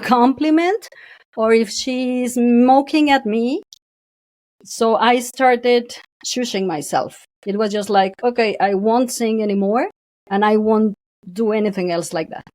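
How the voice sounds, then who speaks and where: A woman's voice: on the high side, no room sound. A woman voice coach, a guest on a podcast, telling her story candidly. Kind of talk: interview.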